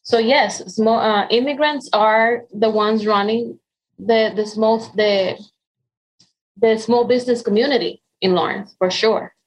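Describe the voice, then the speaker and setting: A woman's voice: on the high side, measured pace, poor audio. Dominican-accented woman, earnest storyteller. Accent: Dominican Spanish. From Dominican Republic. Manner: earnest, warm storytelling with frequent um and restarts. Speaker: interview guest. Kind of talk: conversational interview.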